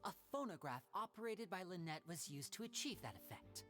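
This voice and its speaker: Young showman magician played by a woman, lowish for female range, animated, poised; game dialogue over music. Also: lightly acted. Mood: smooth showmanship. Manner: theatrical, measured explaining. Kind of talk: anime game dub dialogue.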